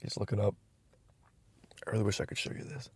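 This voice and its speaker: A young man vlogging from inside a car, musing aloud in a loose, rambling way with frequent restarts, recorded on a phone camera. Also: fast. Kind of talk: casual rambling vlog.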